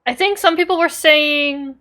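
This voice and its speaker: A woman's voice: sits high, unhurried, pushed and bright. A woman giving playful, joking, casual live commentary as a game streamer.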